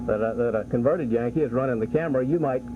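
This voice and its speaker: A man's voice: mid-range voice, quick pace. A man from Alabama interviewing a guest, asking plain, direct questions. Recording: thin phone-like archival sound with hiss.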